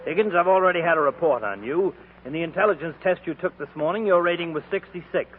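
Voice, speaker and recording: A man's voice: on the high side, fast, husky. A man playing an officious, condescending shoe department manager, pompous and fussy, in a 1940s radio comedy. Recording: scratchy, tinny 1940s radio broadcast.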